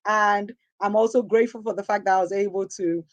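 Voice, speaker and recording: A woman hosting a motivational talk show, her voice husky and hoarse from a sore throat, speaking in an upbeat, encouraging, preacher-like way. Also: medium pitch, steady tone, fairly quick, slight background noise. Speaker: host.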